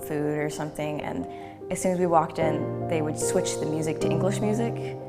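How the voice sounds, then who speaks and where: Casual young woman, very low voice. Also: moderate pace. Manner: casual, many likes. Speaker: student. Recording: loud music bed.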